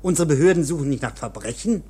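Husky, officious guard. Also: male voice, a little high, expressive, fast, dark tone. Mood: officious, lecturing. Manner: curt, bureaucratic. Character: stern, officious low-ranking guard lecturing the arrested. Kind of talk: German radio drama acting.

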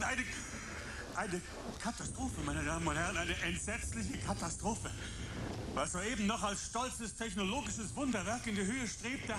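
An actor playing a pompous, dramatic live TV commentator in a radio play, declaiming in grand phrases. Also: male voice, extremely high pitch. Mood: horrified. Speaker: broadcast commentator.

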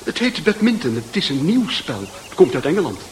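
An actor playing a cheerful, polite neighbour in a radio drama, chatty and well-mannered. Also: male voice, fairly high pitch, very animated, brisk, slight background noise. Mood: bright, polite.

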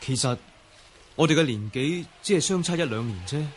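A man acting a sincere, courteous young martial arts gentleman in a Cantonese radio drama, earnest in delivery. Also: medium pitch, lively intonation, normal speed, slight background noise, close to an everyday voice.

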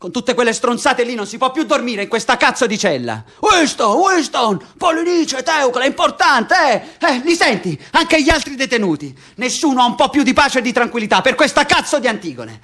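An actor playing a stubborn, hot-headed, foul-mouthed political prisoner in a theatrical radio drama, speaking vehemently and repeating himself. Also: male voice, extremely high pitch, big pitch swings, brisk, few pauses, sharp tone, heavily acted. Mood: mocking.

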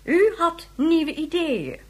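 An actress in a Dutch radio drama playing a stern, reproachful government leader, formal, cutting and authoritative, with a slightly husky voice. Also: female voice, extremely high pitch, big pitch swings, slow pace, exaggerated voice. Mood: stern, skeptical.

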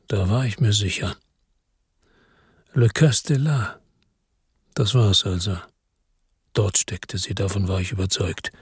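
An actor playing a weary male detective who narrates in a low, brooding way and turns harsh and angry on the phone in a radio drama. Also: gravelly, a little mellow, slight background noise.

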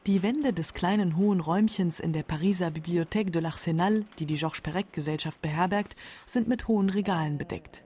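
A woman's voice: lowish voice, a little fast, few pauses, mellow, a little hiss or hum. A woman with pronunciation reading scripted narration for a literary radio documentary.

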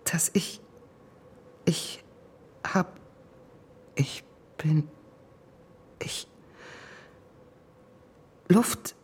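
An actress in a radio drama playing a wealthy, wounded, vengeful middle-aged woman, intense and halting, with a creaky voice. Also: sits low. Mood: choked, shattered. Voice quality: creaky voice, often breaking into whispers.

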